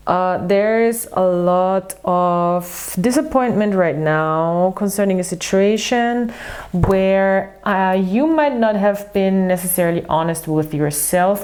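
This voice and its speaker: Lower-pitched woman with an Austrian accent. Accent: Austrian German. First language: German. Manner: relaxed, chatty, with frequent fillers. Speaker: tarot reader. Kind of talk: casual tarot reading to camera.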